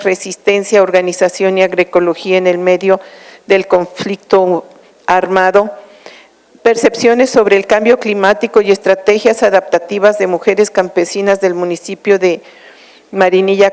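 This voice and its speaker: Smooth-voiced woman moderator in a reverberant hall. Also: mid-range voice, flat delivery, moderate pace, a little mellow. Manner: formal, reading out a list of titles. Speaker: event moderator. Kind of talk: formal speaker introduction.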